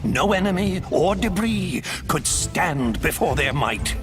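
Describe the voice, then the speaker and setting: Slow, dramatic legend-teller. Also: male voice, fairly high pitch, sing-song. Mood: grave, portentous. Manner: measured, dramatic. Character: grave storyteller of ancient legends. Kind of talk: scripted game lore narration. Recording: music behind the narration.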